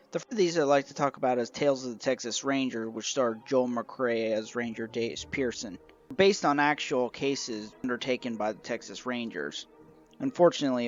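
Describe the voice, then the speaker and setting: Young adult man, mid-range voice, measured scripted show-and-tell narrator, light music underneath. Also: medium pitch, dull audio. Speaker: collector reviewing a radio show collection.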